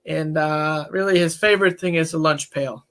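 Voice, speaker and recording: A man talking as a counselor in a casual, candid discussion, with a relaxed, folksy manner. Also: fairly high pitch, moderate pace. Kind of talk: casual podcast-style discussion.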